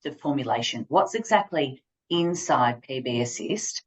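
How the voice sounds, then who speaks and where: A woman hosting an interview over a video call, enthusiastic and quick-spoken. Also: rumbling low, lively intonation. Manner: enthusiastic, fast-talking. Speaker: host.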